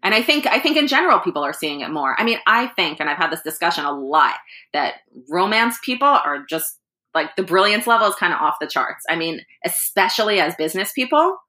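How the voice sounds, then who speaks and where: A woman with a low but bright, forceful voice chats as a guest author, animated and quick to laugh. She talks in a loose, conversational way with frequent restarts. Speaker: author and anthology editor, guest. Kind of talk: casual interview chat.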